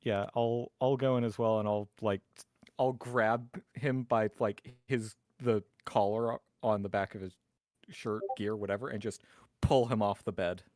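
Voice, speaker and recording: A man's voice: on the low side, steady tone, unhurried, a little mellow, no room sound, studio quality. A man talking casually as a player in a tabletop roleplaying game.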